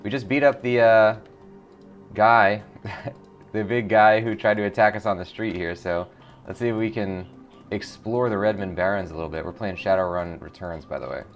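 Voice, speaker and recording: A man with a low voice hosts a casual video game playthrough. He is informal and enthusiastic as he comments, and faint music plays behind him. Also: normal speed, muffled recording. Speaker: gaming show host.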